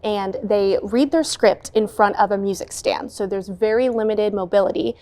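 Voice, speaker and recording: A woman's voice: medium pitch, moderate pace, warm tone. A woman with a mid-range voice talks as a guest in an interview. She is fluent and promotional, with an upbeat, welcoming way of speaking. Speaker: associate artistic director, interview guest.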